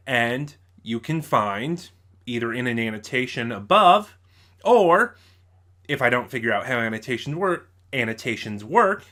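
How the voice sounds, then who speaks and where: Male reviewer, mid-range pitch, bright forceful tone, conversational and self-correcting as he weighs his opinions. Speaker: online reviewer, show host. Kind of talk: casual spoiler review of an audio drama.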